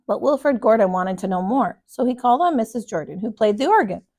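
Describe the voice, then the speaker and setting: A woman reading a children's storybook aloud with deliberate, emphatic, expressive storytelling. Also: fairly high pitch. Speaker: storybook reader, kindergarten teacher.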